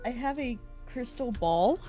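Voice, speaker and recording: A woman speaks as a tabletop game player in a fairly high voice. Her pace is very slow, and her delivery is casual and playful over faint music. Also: lively intonation.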